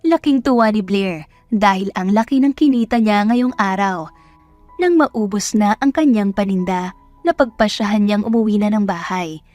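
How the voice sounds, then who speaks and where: A woman's voice: medium pitch, moderate pace, a little mellow, no room sound, faint music behind. A woman with a Filipino accent reading scripted story narration as a storyteller.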